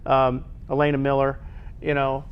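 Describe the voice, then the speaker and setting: A man hosting a conversational sports show, with halting phrasing that often repeats itself. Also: medium pitch, monotone, clean tone, highs cut off. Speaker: sports talk show host.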